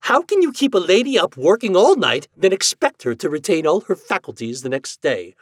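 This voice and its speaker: A man's voice: high-pitched, big pitch swings, a little fast, breathy edge, no room sound, studio quality, voice pushed up, heavily acted. A man voicing a haughty, tired lady ghost in a story, indignant, prim and exasperated. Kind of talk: storybook character voice.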